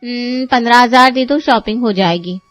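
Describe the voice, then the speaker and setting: Woman as a vain, high-pitched huffy sister. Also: moderate pace, a bit bright, dry recording, lightly acted. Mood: demanding. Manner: huffy, defiant. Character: vain, indignant shopping-mad young sister-in-law. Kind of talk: cartoon story dialogue.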